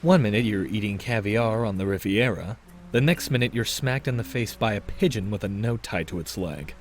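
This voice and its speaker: A man acts out a young cartoon master thief with a low, smooth voice. He is wry and cocky with a wistful undercurrent, telling his tale over clearly audible music. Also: moderate pace, lightly acted. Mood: wry, reflective. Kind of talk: comic dub voice acting with narration.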